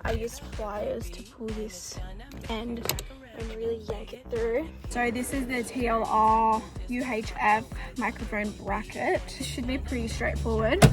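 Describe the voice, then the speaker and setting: Female DIY vlogger, slightly high pitch, informal learn-as-you-go explaining, clear music bed. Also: steady tone, a little slow. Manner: informal, learning as she goes, slangy. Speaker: vlogger showing a DIY car install. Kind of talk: casual how-to narration.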